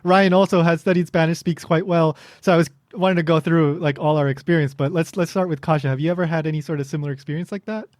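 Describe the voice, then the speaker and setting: A man's voice: on the high side, fairly even intonation, fast, hardly any pauses, a little mellow, no echo, clean studio sound. A man hosting a casual panel chat, speaking hesitantly and often chuckling as he goes. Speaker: podcast host.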